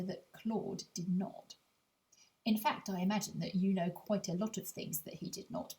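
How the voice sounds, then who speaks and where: A woman's voice: medium pitch, poor audio. Woman narrating a children's audiobook. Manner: measured, gently wry, addresses the reader directly. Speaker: author and audiobook reader.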